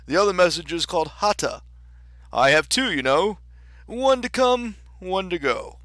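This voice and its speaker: Pompous old storybook king, played by a male narrator, loud and declaiming, pitched up with big swings. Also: lively intonation, moderate pace, no room sound, studio quality. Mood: eager, pompous. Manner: blustering, emphatic, declaiming.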